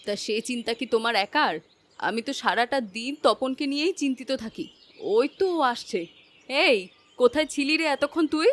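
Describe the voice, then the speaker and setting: A woman playing a worried, protective village wife in an animated moral story, fretful and chiding. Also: high-pitched, a little fast.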